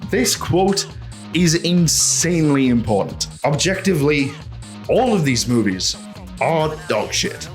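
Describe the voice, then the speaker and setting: A man with a fairly high voice hosts a casual video essay over background music. He talks in an animated, opinionated rant, throwing out rhetorical questions and swearing freely. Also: measured pace. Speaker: host of a video essay channel.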